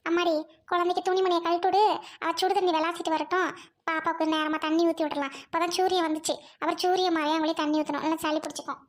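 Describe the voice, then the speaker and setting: A woman plays a sharp-tongued older mother-in-law who rules the house. She scolds and teases in a very high voice, lowered a little for the part. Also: little pitch movement, quick pace. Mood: bossy, fond.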